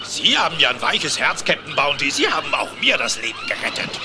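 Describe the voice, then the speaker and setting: An actor playing a stubborn, commanding elderly rich uncle duck in a cartoon audio play. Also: male voice, on the high side, huge pitch swings, fairly quick, background noise. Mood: conciliatory. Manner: emphatic, commanding. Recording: music under the voice. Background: music.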